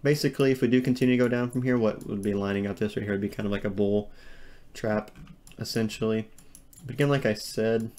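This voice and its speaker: A man's voice: lowish voice, fairly even intonation, fast, darkish tone. A man walking through market charts on screen in a rambling, hedging way, full of ums and restarts. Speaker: crypto market analyst. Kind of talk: screen-share chart analysis.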